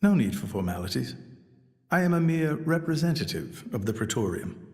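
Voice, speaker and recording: An actor playing a serene, courteous religious leader in a video game, measured and formal, in a reverberant hall. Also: male voice, medium pitch, big pitch swings, darkish tone. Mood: calm, courteous. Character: serene, courteous male religious leader, youthful yet stately. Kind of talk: video game cutscene acting.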